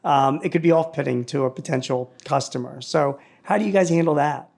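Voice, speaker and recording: A man hosting a business podcast, asking questions in a halting way with many restarts. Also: a little high, gravelly, darkish tone. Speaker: podcast host. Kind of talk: interview questions.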